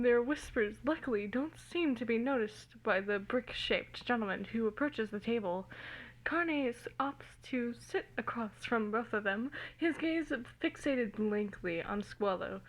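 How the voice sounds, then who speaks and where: Slow, higher-voiced woman reading a story. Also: poor audio. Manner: giggly, informal, stumbles over words. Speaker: amateur reader of fan fiction. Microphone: phone. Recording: amateur phone recording.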